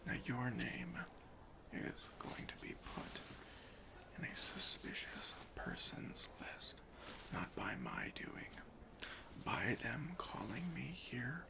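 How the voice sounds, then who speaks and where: A man whispering an ASMR roleplay as a deadpan airport security officer, breathy and deliberate, with pauses. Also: medium pitch. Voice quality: breathy whisper with some fry. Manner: slow, hushed, deliberate, with pauses.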